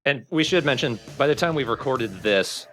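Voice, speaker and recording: A man's voice: medium pitch, expressive, normal speed, a bit bright, faint music behind, rough recording. A man co-hosting a let's play, giving opinions in casual conversational game commentary. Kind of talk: casual gaming commentary chat.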